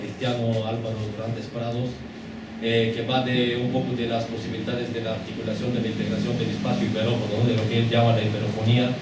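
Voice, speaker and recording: A man who is not a native Spanish speaker, asking a long, hesitant question from the audience at a lecture. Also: medium pitch. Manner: hesitant, many fillers and restarts. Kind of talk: formal question from the floor. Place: lecture hall. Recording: echoey hall, distant mic, background noise.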